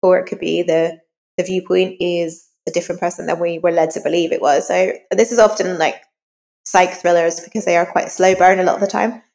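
Low-voiced woman with a creaky edge. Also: steady tone, a little fast, a little mellow. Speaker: novelist being interviewed. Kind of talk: conversational interview about writing craft.

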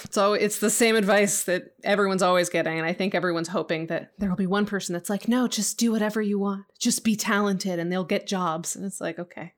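A woman's voice: mid-range voice, brisk, hardly any pauses. A woman hosting a casual interview, wry and joking as she sets up her questions.

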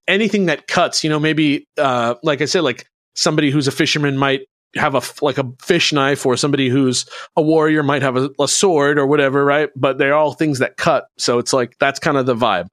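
A man's voice: a little high, fairly even intonation, moderate pace, a bit bright, no room sound, studio quality. A man hosting a podcast, explaining things in a casual, rambling, conversational way. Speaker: podcast host presenting a researched topic.

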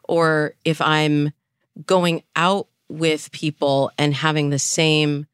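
Woman dating coach, notably low register, explanatory interview delivery with illustrative self-talk, very dry recording. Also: level tone, slow, clean tone, dry recording. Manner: explanatory, illustrates with imagined inner dialogue. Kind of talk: podcast interview, explaining advice.

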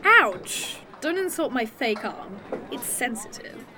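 A woman's voice: on the high side, big pitch swings, a crowd in the background, background noise. An actress playing a snarky, teasing woman time traveler with a fake arm in an indie audio drama. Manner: dry, teasing, quick-witted.

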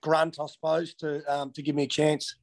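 A man's voice: a little high, level tone, normal speed. An Australian man from Western Australia in his thirties, answering interview questions casually with many ums and restarts. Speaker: interviewee, former footballer.

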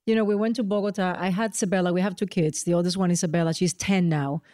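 Quick-talking chatty woman host. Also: fairly low pitch, dry recording, studio quality. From Puerto Rico. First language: Spanish. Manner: lively, chatty, storytelling with slips into Spanish. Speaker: podcast host. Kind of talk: casual interview chat.

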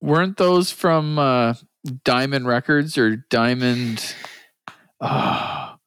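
A middle-aged man co-hosting a podcast, playful and quick to quote old commercials. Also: mid-range voice, lively intonation, a bit bright, clear, resonant tone. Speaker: podcast co-host. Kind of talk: casual chat.

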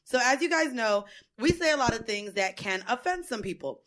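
A brash woman hosting a podcast, cursing and joking her way through the talk. Her voice sits a little high for a woman. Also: animated, normal speed, a bit bright, rough recording. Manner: brash, swears a lot, laughs often. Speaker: podcast co-host. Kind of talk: loud crude banter.

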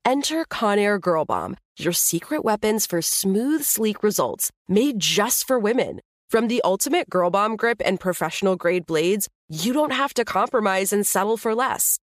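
A woman reads a product ad in an upbeat, polished sales tone. Her voice is mid-pitched and sounds dry and close to the mic. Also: animated, moderate pace, dry recording. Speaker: ad narrator. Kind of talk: scripted ad read.

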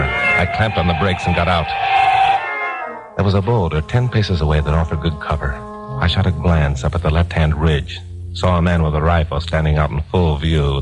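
A man's voice: sits low, lightly acted, low-quality recording. An actor playing a wry, flirtatious tough investigator with an American accent in a 1950s radio drama, telling his own adventure in laconic style. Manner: wry, laconic, hard-boiled. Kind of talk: 1950s radio drama acting and first-person narration. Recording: 1950s radio recording with music behind.

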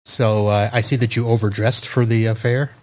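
A man's voice: lowish voice, level tone, moderate pace. A man from Florida hosting a podcast over a video call, chatting casually, joking and laughing often. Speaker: podcast host. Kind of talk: casual interview chat.

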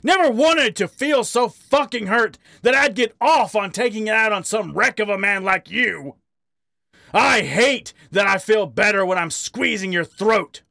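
A man voicing a bitter, volatile male badger who shouts in anguish and then turns weary. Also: high-pitched, unhurried, creaky, no echo, clean studio sound, pitched above the performer's own voice, exaggerated voice. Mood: furious.

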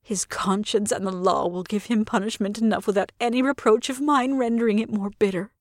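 A woman's voice: medium pitch, fairly even intonation, brisk, dry recording, clean studio sound, natural voice. A woman voicing a scheming noblewoman who feigns grief and outrage, in a theatrical, tearful way. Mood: pious. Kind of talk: dramatic audiobook reading.

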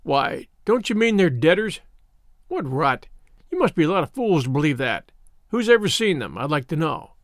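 A man's voice: fairly high pitch, very animated, a little fast, no room sound, studio quality. A man voicing a rude, scornful English schoolboy scout in a storybook reading, brash and dismissive.

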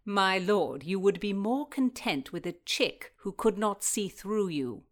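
A woman's voice: mid-range voice, lively intonation, measured pace, close to an everyday voice. Noblewoman, voiced by a woman narrator for period audiobook, commanding, dry wit, formal delivery. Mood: firm, wry. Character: resolute, sharp-witted noblewoman and peacemaker. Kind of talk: dramatized historical fiction reading.

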